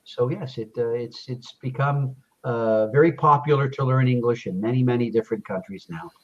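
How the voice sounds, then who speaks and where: A man's voice: mid-range voice, moderate pace. Lo-fi man tutoring English. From Canada. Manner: encouraging, repeats phrases for emphasis. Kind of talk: casual conversation lesson. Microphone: video call. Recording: dull lo-fi video call audio.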